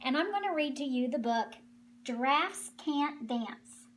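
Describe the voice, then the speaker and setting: A woman narrates a children's picture book in a high voice. She goes slowly and deliberately, shaping the phrases expressively for young listeners. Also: lively intonation, slight background noise. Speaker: children's story reader.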